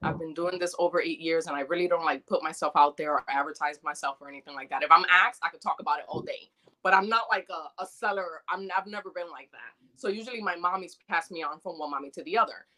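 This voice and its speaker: A woman from New York, born in the Dominican Republic, talks earnestly with a bright, forward tone. Her pitch sits a little low for a woman. She chats freely and comes alive when she retells a moment. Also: fast talker. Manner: earnest and chatty, animated retelling. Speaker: doula, guest. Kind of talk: casual interview conversation.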